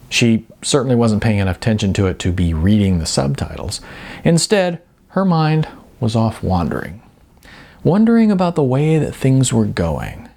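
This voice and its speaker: A man with a husky voice narrating a novel as scripted audiobook storytelling. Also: on the low side, a little mellow.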